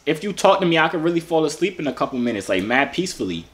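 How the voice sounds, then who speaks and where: A man's voice: a little high, sing-song, very fast, a bit bright. A man, chatting casually and flirtatiously in a playful, teasing way. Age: 20s. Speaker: vlogger chatting with strangers online. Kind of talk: casual flirty video chat. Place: indoor home gym or garage. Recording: clearly noisy room recording with clicks.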